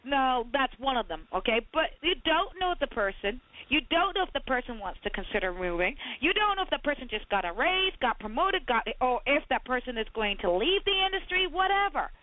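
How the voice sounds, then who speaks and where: A woman's voice: sits high, very animated, fairly quick, few pauses, dry recording. A woman from Barbados, a recruiter co-hosting a podcast, arguing emphatically and animatedly over a phone call. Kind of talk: heated podcast debate. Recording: tinny phone line.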